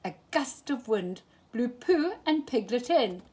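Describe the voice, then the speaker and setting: Husky, slow storybook-reading woman. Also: fairly high pitch. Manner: expressive, animated read-aloud. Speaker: storytime reader. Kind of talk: reading a children's picture book aloud.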